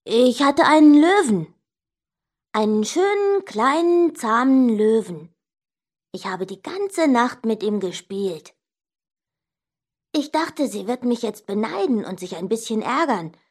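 Frau spielt empörtes Kind, sehr lebhafte Satzmelodie. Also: female voice, on the high side, slow pace. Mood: defiant, boastful. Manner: expressive, emphatic, addressing the listener directly. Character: indignant young child telling tales about an older sister. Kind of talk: scripted children's story narration.

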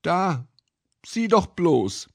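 A man's voice: high voice, very animated, drawn-out words, gravelly, no room sound, studio quality, voice pushed up, exaggerated voice. A man voicing a small, playful, eager girl in a children's storybook.

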